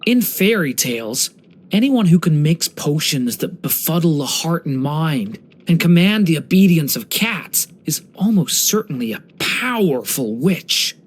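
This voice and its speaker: Game role: young dragon boy in human form. Earnest, polite, gentle storyteller, animated intonation. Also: fairly high pitch, unhurried. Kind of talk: English video game character voice lines.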